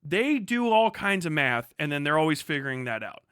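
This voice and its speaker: A man's voice: fairly high pitch, big pitch swings, moderate pace, fairly bright tone, smooth, dry recording, studio quality. A man hosting a livestream, explaining things casually in a rambling, sweary way with asides to himself. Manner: rambling, self-interrupting asides. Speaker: livestream host.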